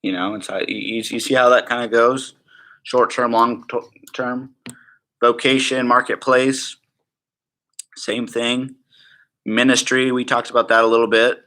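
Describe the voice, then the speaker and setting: A man's voice: mid-range voice, fairly even intonation, a little slow, long pauses. A young man giving an informal teaching talk at a prayer school, conversational and rambling, often checking in with "right?" and "okay". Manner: conversational, rambling, laughs softly, asks the room "right?".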